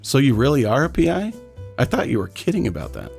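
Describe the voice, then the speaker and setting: An actor playing a friendly, easygoing auto mechanic with a casual, chummy manner in a radio drama. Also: male voice, mid-range voice, wildly swooping pitch, fast talker, creaky, darkish tone, soft background music. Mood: friendly, curious.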